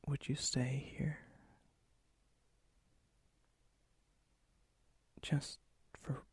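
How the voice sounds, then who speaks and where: A man's voice: medium pitch, flat delivery, no room sound, exaggerated voice. Man playing a whispering, brooding, lonely vampire. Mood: tender, hopeful. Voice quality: breathy, gravelly whisper. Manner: slow, halting, subdued, intimate. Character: lonely, brooding, remorseful vampire, softening toward a stranger. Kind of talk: whispered ASMR roleplay.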